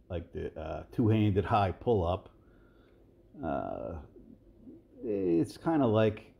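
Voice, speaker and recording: Man, 60s, low and mellow, loose rambling fitness host voice-over. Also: vocal fry. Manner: rambling, relaxed, many fillers and restarts. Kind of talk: casual voice-over commentary.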